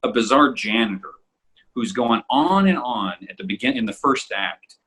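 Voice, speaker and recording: A man in a casual behind-the-scenes chat, talking like a voice actor in a thoughtful, rambling, halting way. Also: mid-range voice, expressive, fairly bright tone, breathy edge, poor audio. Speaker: voice actor in an audio drama group.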